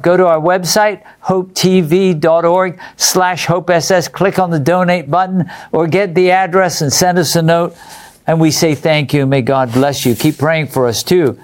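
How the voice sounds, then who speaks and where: A man's voice: a little high, moderate pace. A man hosting a religious study program, reading out viewer letters and putting questions to his group and viewers.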